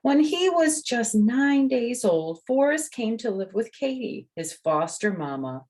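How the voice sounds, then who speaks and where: An older woman reading a picture book aloud to children, gently explaining with chatty asides and questions to the listener. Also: on the high side, very animated, unhurried. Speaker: storyteller and host.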